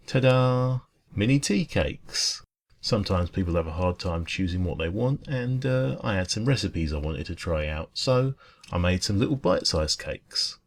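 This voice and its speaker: A man playing a friendly, caring dragon who runs a cosy café, talking gently and reassuringly in an ASMR roleplay. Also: low-pitched, animated, normal speed, creaky, full character voice. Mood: cheerful, chatty.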